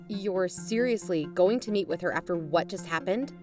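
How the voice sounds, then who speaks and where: A woman's voice: lowish voice, moderate pace, lightly acted. A woman playing a cautious, worried investigator's partner in a fiction podcast drama, urgent and cautioning. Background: music.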